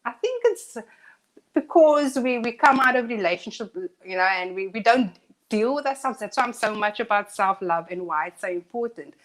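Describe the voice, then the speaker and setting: A woman co-hosting a live talk show who talks earnestly and thinks out loud. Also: fairly high pitch, lively intonation, normal speed. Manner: earnest, reflective, thinks aloud. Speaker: co-host. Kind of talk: casual discussion on a live show.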